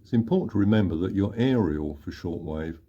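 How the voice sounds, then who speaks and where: A man with a husky voice giving an unhurried, matter-of-fact hands-on demonstration of equipment. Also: sits low, animated, warm tone, highs cut off. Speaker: radio hobbyist presenter.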